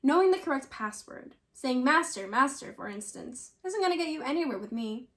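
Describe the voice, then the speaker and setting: A woman with a clearly high-pitched voice reads scripture aloud. Her delivery is that of a prepared recitation from a written text. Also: expressive, moderate pace, a bit bright. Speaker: scripture reader.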